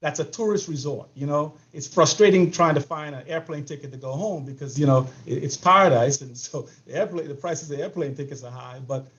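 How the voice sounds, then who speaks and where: An older man with a Bahamian accent speaks in a slightly raised voice, animated and repeating words as he tells a story. He is a panel guest. The audio is dull and lo-fi. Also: a little high, fairly quick, hardly any pauses. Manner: animated, repeats words, laughs between phrases. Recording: muffled lo-fi audio.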